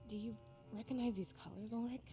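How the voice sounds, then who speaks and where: A woman voicing a wary, grave female rebel fighter in a scripted visual novel, with a measured and serious delivery. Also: on the high side, fairly even intonation, background noise, natural voice. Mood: concerned, questioning. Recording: music behind the voice. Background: game music.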